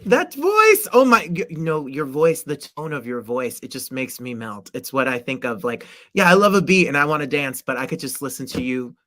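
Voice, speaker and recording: A middle-aged man hosts an interview, heaping effusive praise on his guest. His voice sits at a middle pitch for a man. The recording is dry and close, with no room echo. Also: animated, moderate pace, hardly any pauses, bright, forceful tone. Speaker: host. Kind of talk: casual interview chat.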